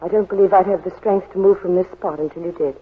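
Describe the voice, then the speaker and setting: A woman's voice: lowish voice, fairly even intonation, quick pace, slightly rough. An actress playing a devoted, urgent young woman in a wartime thriller, with earnest, dramatic 1940s radio delivery. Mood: tender, pleading. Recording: muffled, phone-like 1940s radio recording.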